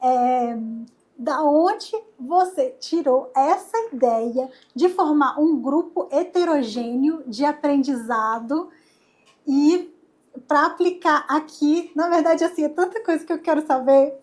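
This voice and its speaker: A woman's voice: high-pitched, unhurried, a little mellow. A woman interviewing a guest, curious and eager, thinking aloud as she forms her questions.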